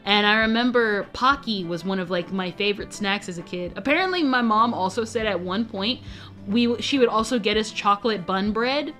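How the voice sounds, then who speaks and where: A woman's voice: mid-range voice, animated, normal speed, a bit bright, soft background music. A young woman streamer chatting casually, rambling, self-interrupting and often giggling. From United States. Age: young adult.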